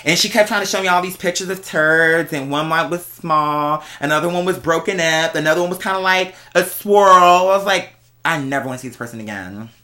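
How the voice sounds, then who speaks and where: A speaker chatting casually to viewers as host, telling stories in a sassy, slangy, exclamatory way with drawn-out emphasis. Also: medium pitch, bright, forceful tone. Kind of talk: casual storytelling chat to viewers.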